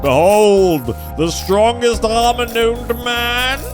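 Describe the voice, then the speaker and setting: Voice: mid-range voice, slow, natural voice. A performer voicing a noble armored male detective from a visual novel, with formal, chivalrous and grandiose phrasing. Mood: boldly proud. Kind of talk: dramatic visual novel voice acting. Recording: game music behind the voice.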